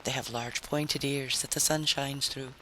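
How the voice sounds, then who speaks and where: A man's voice: medium pitch, steady tone, a little slow, bright, forceful tone. A man voicing a sprightly, fanciful tramp who talks in an earnest, coaxing, lyrical way in a vintage radio drama. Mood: dreamy, earnest. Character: sprightly, fanciful male tramp, silver-tongued and shifty. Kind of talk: 1950s science fiction radio drama. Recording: noisy vintage radio recording.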